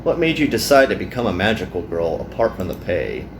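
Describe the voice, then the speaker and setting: A man's voice: sits low, big pitch swings, a little fast, roomy sound, background noise, voice pushed down. A man reading the part of a weary, grumbling young male hero in an anime game.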